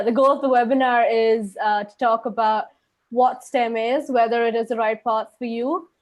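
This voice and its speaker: A woman's voice: on the high side, darkish tone. A young woman from India, a student panelist, giving a webinar talk with many hesitant fillers. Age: young adult. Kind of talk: webinar presentation.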